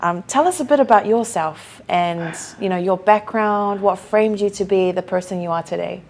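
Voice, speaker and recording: A woman's voice: mid-range voice, moderate pace, darkish tone. A woman from South Auckland in New Zealand, hosting an interview and encouraging her guest with frequent affirmations. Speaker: interview host. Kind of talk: casual interview.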